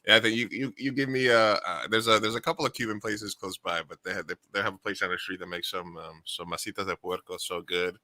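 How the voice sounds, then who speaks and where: Male host, low-pitched, very bright and forceful tone, dry close sound. Rambling and eager. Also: fairly even intonation, quick pace, hardly any pauses, dry recording. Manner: rambling, repeats words, enthusiastic. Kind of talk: casual interview chat.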